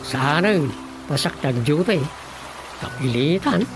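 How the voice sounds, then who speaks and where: An actor playing a grumbling, reluctant village office worker in a comic radio drama, colloquial, with an Ilocano accent. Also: male voice, fairly high pitch, lively intonation, slow pace, noisy background. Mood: matter-of-fact.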